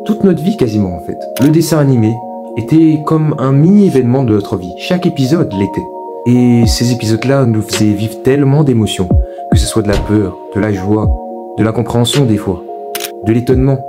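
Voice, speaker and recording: A man's voice: mid-range voice, a little fast, over music. A young man hosting a video essay, giving informal, opinionated commentary in an emphatic, repetitive, colloquial way.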